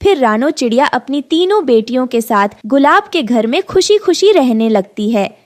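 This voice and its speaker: A woman's voice: high voice, big pitch swings, moderate pace, dry recording. A woman reading scripted narration for a children's story.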